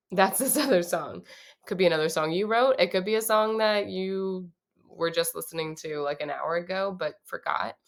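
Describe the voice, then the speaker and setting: A young woman with a low voice, talking through her songwriting process in a relaxed, casual way with many pauses. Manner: relaxed, thinking aloud with many ums.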